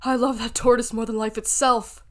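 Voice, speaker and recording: A woman's voice: on the high side, little pitch movement, normal speed, close to an everyday voice. A young female student playing an old widowed father, doting and scornful, in a radio play. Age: teenager or young adult student.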